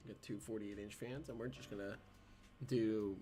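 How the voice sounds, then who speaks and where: A man hosting a casual livestream, hoarse and raspy from a recent cold, talking hesitantly with many ums and stopping to clear his throat. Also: on the low side, steady tone, normal speed, warm tone, a little hiss or hum. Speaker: livestream host.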